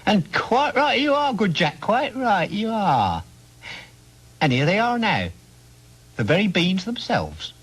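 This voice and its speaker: A man's voice: high voice, very animated, takes it slowly, long pauses, fairly bright tone, slightly rough, pitched above the performer's own voice, exaggerated voice. A man doing a sly sing-song old stranger. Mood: gleeful. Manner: drawling, sing-song, theatrical. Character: sly odd old male stranger, wheedling salesman.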